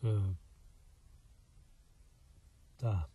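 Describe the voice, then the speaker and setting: An exhausted, irritated man in a radio play. His voice is lowish and very rough. He answers tersely and gives curt commands with open disgust. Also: very slow, dark tone, dry recording, heavily acted. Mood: weary, terse. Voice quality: hoarse, rough.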